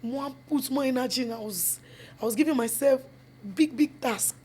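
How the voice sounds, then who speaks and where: A woman's voice: high voice, normal speed, a little mellow, a little hiss or hum. A young Nigerian woman with a Yoruba accent, a film producer and actress, giving earnest interview answers. She mixes English and Yoruba and repeats phrases for emphasis. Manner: switches between English and Yoruba mid-sentence.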